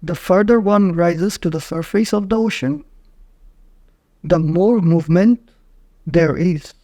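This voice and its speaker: A man narrating a guided meditation in short, measured phrases with frequent pauses. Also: sits high, dark tone, no room sound, clean studio sound.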